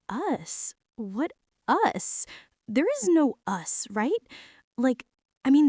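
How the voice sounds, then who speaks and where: A woman playing a shy, self-doubting teenage art girl in a girlfriend ASMR roleplay, speaking in a nervous, halting, rambling way. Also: fairly high pitch, warm tone, dry recording, clean studio sound. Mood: confused, spiraling.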